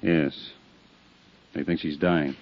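An actor playing a tough, impatient police detective with a gruff, sardonic, badgering manner in a 1950s radio drama. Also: male voice, extremely low pitch, steady tone, slow, over music, heavily acted. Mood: weary, businesslike. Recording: dull, lo-fi 1950s radio recording.

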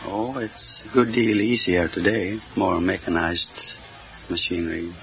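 A man's voice: low voice, unhurried, gravelly, a bit bright, faint music behind. A man, a farmer contestant, answering a radio quiz host in terse, literal, plain words. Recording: muffled old 1950s radio.

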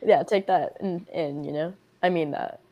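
Chatty, expressive young woman. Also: deep voice, lo-fi sound. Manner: chatty, expressive, quick to laugh, lots of fillers. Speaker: podcast guest. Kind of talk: casual chat.

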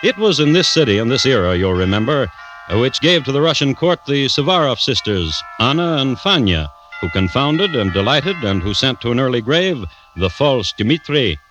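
A man hosting and narrating a 1950s radio drama in a dry, wry, measured storytelling manner. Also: medium pitch, big pitch swings, fairly bright tone, soft background music. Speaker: host and narrator. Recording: noisy vintage radio recording.

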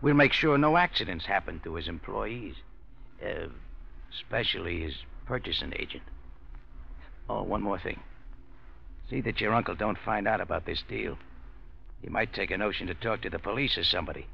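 Gravelly old-radio gangster, slangy n insinuating, sharp edge, muffled hissy recording. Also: male voice, fairly low pitch, expressive, normal speed, long pauses, exaggerated voice. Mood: sly menace. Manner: insinuating, slangy tough talk. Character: smooth menacing male racketeer making veiled threats. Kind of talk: 1940s radio crime drama acting.

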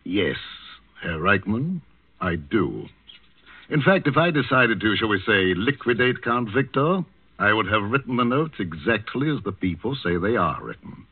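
Thin vintage radio recording, sardonic scientist doctor, husky, swooping intonation, urbane, deliberate, defiant. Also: male voice, lowish voice, very animated, dry recording, narrow, tinny audio, heavily acted. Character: wry, unflappable male psychiatrist scientist, slyly defiant. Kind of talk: 1940s radio drama acting. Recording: thin, band-limited old radio recording.

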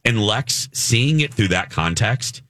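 A man co-hosting a casual morning radio show, talking in an animated way that swoops up high when he gets emphatic. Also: slow, no echo, studio quality.

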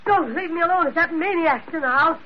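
An actress playing a brassy, needy older saloon keeper in an old radio drama, folksy and familiar. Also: female voice, extremely high pitch, brisk. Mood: alarmed. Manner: folksy, familiar, calls people honey. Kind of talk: 1940s-50s radio drama acting. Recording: dull, slightly noisy vintage radio recording.

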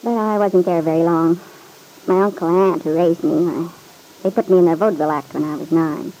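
Actress as a breezy showgirl in mellow vintage radio drama. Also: on the low side, steady tone, brisk, natural voice. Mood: matter-of-fact. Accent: American. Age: young adult. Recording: noisy vintage radio recording.

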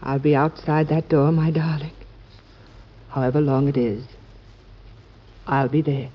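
An actress playing a president's devoted, anxious yet composed wife in an American old-time radio drama, soft and formal. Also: female voice, low-pitched, steady tone, a little slow, slightly rough. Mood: steady, loving. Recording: dull, noisy vintage radio recording.

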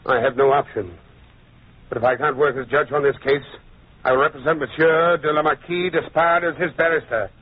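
An actor playing an indignant, principled judge, earnest and oratorical, in an old-time radio drama. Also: male voice, a little high, steady tone, brisk, slightly rough. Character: indignant, principled French judge turned defence barrister. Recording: tinny, narrow old radio recording.

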